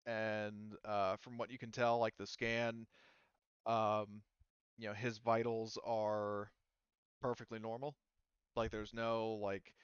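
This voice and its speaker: A man's voice: medium pitch, a bit bright, clear, resonant tone, no room sound, highs cut off. A man improvising narration as game master in a casual, rambling, hesitant way.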